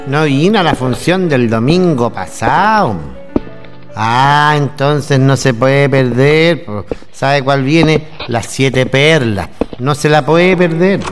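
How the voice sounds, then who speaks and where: A man's voice: medium pitch, takes it slowly, music in the background, lightly acted. A man acting a fussy cinema manager in an amateur radio play, scolding yet polite, with a Chilean accent. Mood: promoting.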